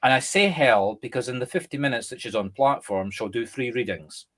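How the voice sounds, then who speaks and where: A Scottish man, a medium and co-host, chatting casually and explaining through lively examples. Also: medium pitch, a little fast. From Scotland. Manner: animated, explains through examples and acted-out quotes. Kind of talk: casual conversation.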